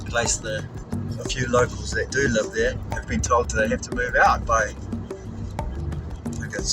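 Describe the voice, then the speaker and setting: A man's voice: mid-range voice, recorded from a distance, rough recording. A man from New Zealand with a Kiwi accent, rambling bluntly and swearing freely as he chats to camera while driving his car. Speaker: vlogger. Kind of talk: rambling driving vlog chat. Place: inside a moving car.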